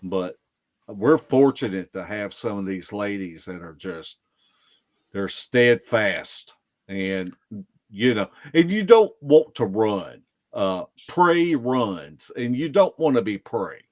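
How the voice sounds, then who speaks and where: A man with a fairly low voice talks as a podcast guest. He tells stories slowly and casually, in a folksy way, often repeating himself as he goes. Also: big pitch swings, a little mellow. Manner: folksy, unhurried, repeats and circles back. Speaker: podcast guest, field investigator. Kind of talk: casual storytelling.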